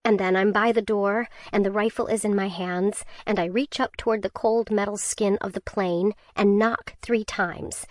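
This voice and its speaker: A woman narrates a survival thriller in first person, tense and measured. Her voice sits at a mid pitch for a woman. The recording is dry and close, with no room around her. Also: steady tone, no room sound. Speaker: audiobook narrator.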